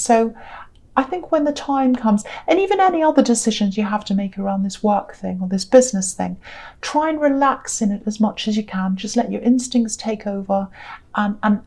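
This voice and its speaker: Tired, croaky woman giving a gentle tarot reading. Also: on the high side. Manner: gentle, reassuring, rambling with many fillers. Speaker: tarot reader. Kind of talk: casual tarot reading to camera.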